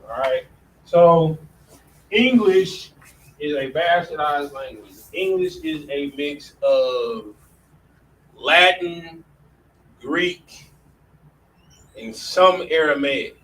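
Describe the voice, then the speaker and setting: A man's voice: fairly high pitch, animated, drawn-out words, pauses often, a bit bright. A man teaching a classroom lesson in a casual way, often saying "all right" between points. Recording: distant mic with faint room noise.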